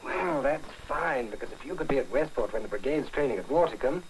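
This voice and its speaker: An actor with an upper-class British accent playing a trusting, smitten army officer in 1940s film style, polite and earnest. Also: male voice, mid-range voice, lively intonation, fairly quick, lightly acted. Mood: earnest, affectionate. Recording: noisy old film soundtrack.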